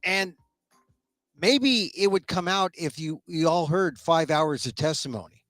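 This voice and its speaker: A man's voice: on the high side, a little slow, a bit bright, no echo, clean studio sound, soft background music. A middle-aged man hosting a solo political commentary show, opinionated and a little sniffly, often repeating words as he starts a thought. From United States. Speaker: talk show host.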